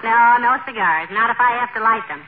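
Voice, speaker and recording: An actress playing a patient, wry middle-aged wife in a 1940s radio comedy, gently scolding. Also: female voice, a little high, brisk, narrow, tinny audio, crowd noise, lightly acted. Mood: soothing. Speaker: lead comic character. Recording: crackly thin old radio broadcast.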